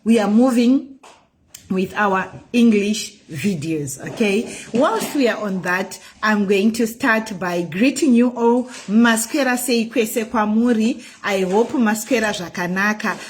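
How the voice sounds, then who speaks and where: A woman's voice: medium pitch, slight background noise. A woman from Zimbabwe with a Zimbabwean accent, a native Shona speaker, talking earnestly to camera as a vlogger, with repeated phrases and many fillers, sliding into preaching. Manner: earnest, measured, repetitive phrasing with frequent fillers. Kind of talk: casual direct-to-camera talk turning to preaching.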